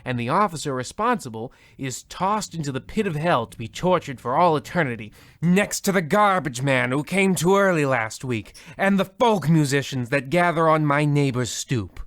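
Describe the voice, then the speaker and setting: An actor playing a pompous, petty host of old-time radio horror tales, delivered with mock-solemn melodrama. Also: male voice, fairly high pitch, lively intonation, moderate pace, hardly any pauses, a bit bright, no room sound, studio quality. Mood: pettily aggrieved. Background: music.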